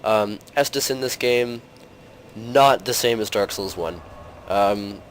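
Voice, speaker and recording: A young man hosting a gaming channel, talking through gameplay in a casual, rambling way full of fillers, his voice slightly hoarse from a cold. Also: lowish voice, takes it slowly, slight background noise.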